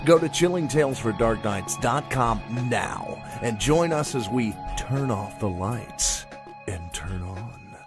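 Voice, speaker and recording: A man's voice: medium pitch, animated, slow pace. A man working as an announcer, reading a scripted promotional outro. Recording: clear music bed behind voice.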